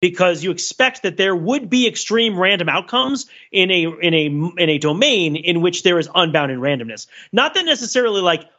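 Male podcast host, higher-than-average bright voice, thinking aloud in rambling analytical fashion with frequent "right?" check-ins. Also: big pitch swings, muffled recording. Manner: discursive, halting, checks in with "right?". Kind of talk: casual analytical discussion.

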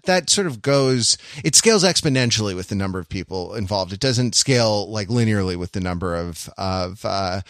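Male podcast co-host, mid-range voice, wry and animated, wanders into digressions, very dry close sound. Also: medium pitch, dry recording. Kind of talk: casual podcast chat.

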